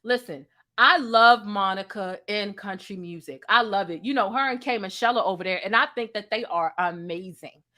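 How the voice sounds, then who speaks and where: A woman with a deep voice hosting a livestream commentary show, emphatic and talking straight to her viewers. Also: moderate pace, sharp tone. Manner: emphatic, repeats lines for punch, asks the chat questions. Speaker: YouTube commentary host.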